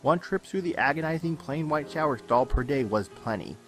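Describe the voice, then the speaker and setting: A man reading a fan fiction story aloud in an expressive, dramatic storytelling manner. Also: on the high side, fairly quick. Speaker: audiobook-style reader of fan fiction. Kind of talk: scripted story narration. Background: background music.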